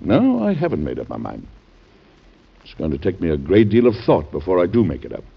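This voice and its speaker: A very deep-voiced, conscientious middle-aged president in an old radio drama. His delivery is formal, earnest and deliberate, with a lively rise and fall. The sound is dull, like vintage radio. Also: creaky, husky, exaggerated voice. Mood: firm. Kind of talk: classic American radio drama acting. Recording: dull band-limited old radio recording.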